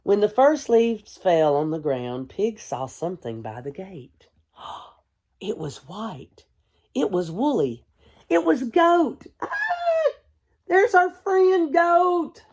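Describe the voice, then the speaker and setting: A woman, a kindergarten teacher, reading a storybook aloud to young children in a gentle, expressive way. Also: medium pitch. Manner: gentle, hushed, expressive storytelling with deliberate pauses; addresses young listeners with simple questions.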